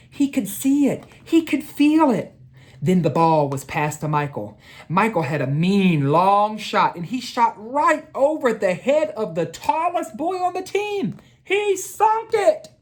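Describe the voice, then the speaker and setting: Woman, educator, storybook read-aloud for children, lowish pitch, deliberate, emphatic delivery.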